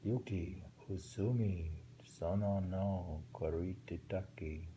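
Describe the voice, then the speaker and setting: A man's voice: low voice, fairly even intonation, slow, warm tone, a little hiss or hum. A middle-aged man reading translated poems aloud and musing about them in a casual, thinking-aloud way, often rereading lines. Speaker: poetry reader.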